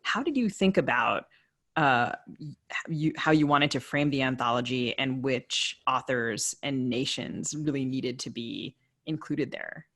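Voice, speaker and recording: A woman's voice: a bass voice, unhurried, fairly bright tone. A woman co-hosting a podcast, asking thoughtful, discursive questions in a conversational literary interview style.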